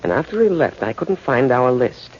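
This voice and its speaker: A man plays an impatient male gang boss in a forties radio crime play. He is cold and scheming, giving curt orders with a very animated, swooping intonation. The sound is dull, with some background noise from the old broadcast. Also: mid-range voice, normal speed, close to an everyday voice. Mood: brusque, scheming. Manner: curt, commanding. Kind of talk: 1940s radio crime drama acting. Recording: muffled, noisy 1940s radio broadcast.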